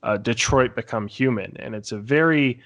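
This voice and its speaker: A man's voice: medium pitch, moderate pace, a bit bright. A man talking casually and informally, rambling through stories as a podcast panelist and swearing now and then. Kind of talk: casual chat recounting tabletop game sessions.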